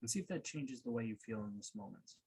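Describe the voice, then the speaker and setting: A man leading a guided meditation as a coach, speaking in a calm, unhurried way with pauses. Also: mid-range voice, slight background noise.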